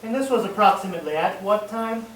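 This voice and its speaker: Man acting a high-voiced, businesslike police interrogator. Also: animated, fast, a bit bright, a little hiss or hum. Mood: businesslike probing. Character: police officer questioning a bereaved husband, brisk, businesslike. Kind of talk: old-time radio drama acting. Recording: distant, echoey old radio recording.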